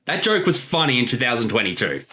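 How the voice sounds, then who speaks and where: A voice actor chats through a creator commentary in a mid-pitched voice. The delivery is casual and playful, with lively swings in pitch and bits of quoted lines dropped in. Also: fast.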